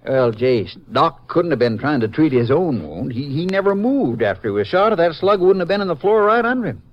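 Folksy Southern sheriff in old radio western. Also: male voice, on the high side, big pitch swings, brisk. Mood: earnest, puzzled. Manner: hesitant, plainspoken, drawling rural phrasing. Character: folksy, cooperative small-town Texas sheriff, a man. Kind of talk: 1950s radio acting. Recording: muffled, noisy 1950s radio recording.

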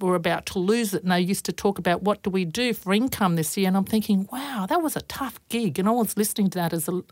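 A middle-aged woman speaks thoughtfully as an interview guest, her voice sitting a little low. She talks earnestly with easy fillers, and the sound is dry and close. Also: no echo. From Australia. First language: Croatian. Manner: thoughtful, earnest, frequent fillers and soft laughs. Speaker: interview guest, police officer. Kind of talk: reflective interview conversation.

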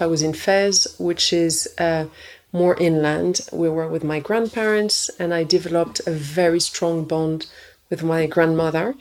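A woman who grew up in Morocco, a nutritionist guest in an interview, telling stories about her childhood in a lively way. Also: lowish voice. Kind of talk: interview conversation.

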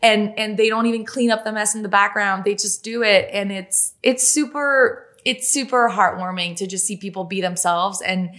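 A woman's voice: medium pitch, fairly bright tone. A woman with a French Canadian accent, a native French speaker, talking casually as an interview guest and filling her sentences with "like". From Quebec, Canada. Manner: chatty and quick, heavy use of "like" fillers. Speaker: journalist and author, guest.

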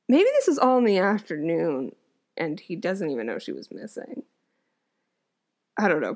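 A woman actor and comedian reads an old fairy tale and keeps stopping to make amused, wry comments. Her voice sits in a middle pitch, and her tone is chatty and casual between the storybook passages. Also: a little mellow. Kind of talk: storytelling reading with joking asides.

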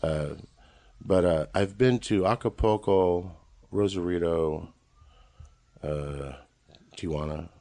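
A man's voice: deep voice, slow, pauses often, mellow, dry recording. A man in his late forties from Los Angeles with an American accent, telling casual, rambling, joking stories as a podcast guest. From Los Angeles, USA. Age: late 40s. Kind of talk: casual conversational storytelling.

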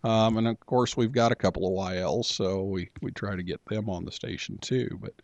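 A man hosting a radio talk show, chatting casually with a guest and pausing often on filler sounds. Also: lowish voice, fairly even intonation, vocal fry, darkish tone, dry recording. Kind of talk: casual interview chat.

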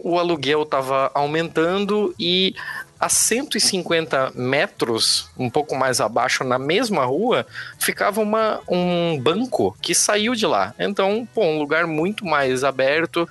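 Joking, relaxed podcast co-host man. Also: fairly high pitch. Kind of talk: casual chat.

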